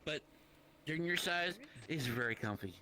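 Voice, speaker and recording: A young man in casual online roleplay chat, boastful and teasing, talking in a halting, chatty way. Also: on the high side, expressive, bright, forceful tone. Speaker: roleplayer, guild staff member. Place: online virtual-reality chat. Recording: faint background noise.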